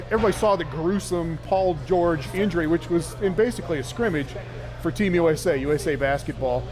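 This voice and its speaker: A man's voice: fairly high pitch, brisk. A man hosting a sports talk show from a sports bar. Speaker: panel show host. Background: music.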